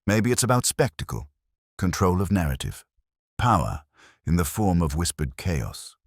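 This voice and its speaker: Man acting male detective inspector, audiobook mystery, bass-low, calm, cryptic, courteous, deliberate, lively intonation. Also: no echo, clean studio sound, heavily acted. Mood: quietly certain.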